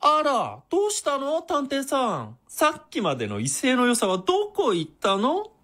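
Husky, campy, sadistic villain. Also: male voice, extremely high pitch, huge pitch swings, normal speed, fairly bright tone, exaggerated voice. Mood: mocking. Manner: campy, mincing, feminine phrasing. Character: sadistic effeminate male knife-wielding villain, taunting and vain. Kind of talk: radio drama acting.

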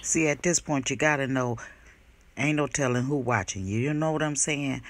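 A middle-aged woman hosting a casual storytime vlog at home, with drawn-out emphatic asides and hums. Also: a bass voice. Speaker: vlog host. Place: small room at home. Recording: faint background noise.

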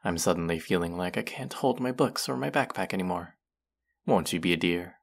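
A man's voice: deep voice, expressive, fairly quick, gravelly, full character voice. A young man acting a condescending, smug college student in a scripted audio roleplay, haughty and sardonic with a drawl. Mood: mocking, bossy. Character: condescending, smug young male college rich-club hopeful. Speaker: voice actor.

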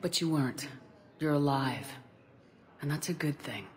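A woman's voice: low-pitched, unhurried. Female game commander, long hall reverb, resolute, compassionate, firm, earnest delivery. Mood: resolute, encouraging. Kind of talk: video game cutscene dialogue.

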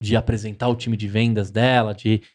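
A man's voice: on the low side, flat delivery, normal speed, darkish tone. A man in his thirties hosting a business podcast in a studio, talking with enthusiastic, promotional energy. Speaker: podcast host. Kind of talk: casual business podcast chat. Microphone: studio mic on boom arm, headphones.

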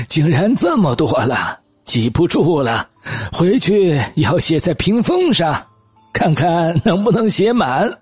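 Man acting a slow, husky old gentleman. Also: fairly high pitch, very animated, no echo, faint music behind, full character voice. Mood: genial, musing. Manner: hesitant, chuckling, unhurried. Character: elderly men, master and servant, genial and chuckling. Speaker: audiobook voice actor. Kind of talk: acted audiobook dialogue.

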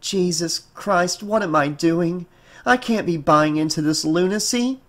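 A man's voice: high-pitched, measured pace, fairly bright tone. A man voicing a distraught, exhausted mother in an audiobook, with a pleading, exasperated manner. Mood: bewildered, weary. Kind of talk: audiobook character acting.

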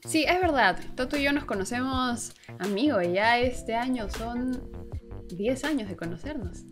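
Higher-voiced young woman chatting over music. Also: expressive, a little slow. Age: young adult. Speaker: co-host of a two-person channel. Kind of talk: casual unscripted chat. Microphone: wired earphones. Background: background music.

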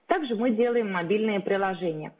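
A woman in her thirties, a company founder, giving a steady, rehearsed business pitch to investors over a video call. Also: a little fast. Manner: steady, rehearsed delivery with occasional filler sounds. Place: indoor room, video call. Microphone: webcam or laptop mic on a video call. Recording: narrow phone-like sound.

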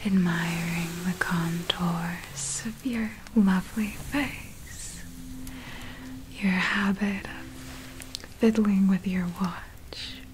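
Girl acting out a possessive lady vampire for asmr, super slow breathy whisper, tender but menacing. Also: on the low side. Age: young adult.

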